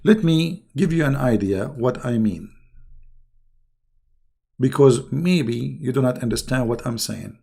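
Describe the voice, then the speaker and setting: Hoarse, taunting man with gravelly voice. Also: medium pitch, a little slow, long pauses, dark tone. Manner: taunting, insulting, rambling. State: hoarse, losing his voice. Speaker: online religious commentator. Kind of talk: mocking casual monologue.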